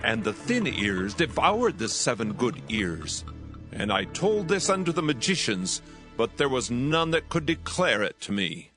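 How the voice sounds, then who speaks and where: A man playing a troubled Egyptian king in a dramatized scripture reading, solemn, deliberate and theatrical. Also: mid-range voice, very animated, a bit bright. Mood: troubled, wondering. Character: troubled Egyptian king recounting a strange dream. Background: soothing background music.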